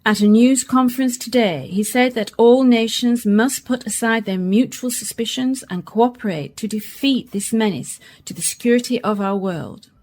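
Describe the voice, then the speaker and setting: Woman acting as a lady news reporter, radio drama, highish voice, broadcast style. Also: normal speed, few pauses, a little mellow, lightly acted. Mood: formal. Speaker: reporter and newsreader.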